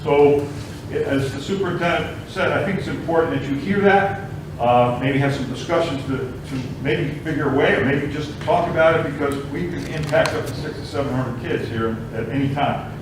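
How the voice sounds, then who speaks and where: A man's voice: on the high side, moderate pace. Hesitant man in an echoey distant room. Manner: hesitant, repeats words, worried. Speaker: school staff member. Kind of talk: board meeting report. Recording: echoey meeting room from far off, hum.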